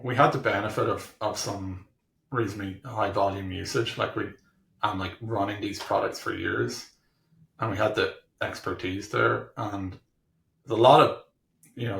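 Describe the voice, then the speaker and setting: A man answers interview questions in a low voice with a strong gravelly creak. He explains casually and haltingly, restarting and thinking aloud. The room around him rings with noticeable echo. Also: a bit bright. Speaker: technology executive being interviewed. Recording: roomy, echoing room sound.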